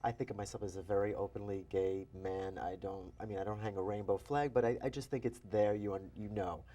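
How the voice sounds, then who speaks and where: Low, mellow-voiced man chatting as a guest. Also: brisk, muffled recording. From New York, USA. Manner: chatty, animated, self-deprecating. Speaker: guest physician and author. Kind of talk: interview conversation.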